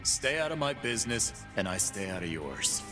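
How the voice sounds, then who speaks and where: An actor voicing a cocky, brawling male fight-pit boss in game voice lines, full of gruff, boastful trash talk, with game music behind. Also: lowish voice, very animated, moderate pace.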